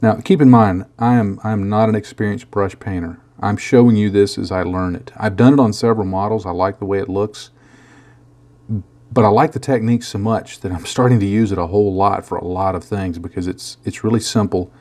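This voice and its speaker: A man with a bad cold and a rough, hoarse voice, casually walking through a model painting tutorial with many small restarts. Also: lowish voice, fairly even intonation, fairly quick, a little mellow. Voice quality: rough, gravelly. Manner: rambling, self-deprecating, many restarts.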